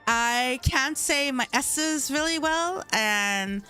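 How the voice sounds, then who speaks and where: Female streamer, slightly high voice, slight lisp, mumbly and stumbling casual chat, low music bed. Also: expressive, slow pace, pushed and bright, clear, resonant tone. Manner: mumbles and stumbles over words. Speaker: game streamer. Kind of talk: casual live-stream chat while playing.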